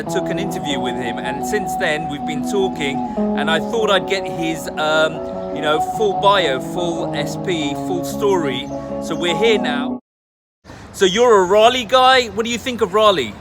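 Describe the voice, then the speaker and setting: A man hosting a casual conversational interview, asking probing questions in an informal, chummy way. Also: fairly high pitch. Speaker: interviewer and channel host. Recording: music playing behind the voice. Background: music.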